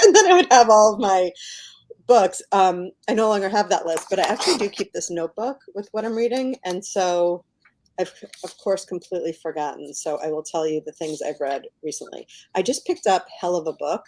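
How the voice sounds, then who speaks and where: A woman's voice: mid-range voice, darkish tone. A middle-aged American woman chatting informally as an author in an interview, often dropping "like" into her sentences. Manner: informal, peppers talk with "like". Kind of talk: casual chatty book interview.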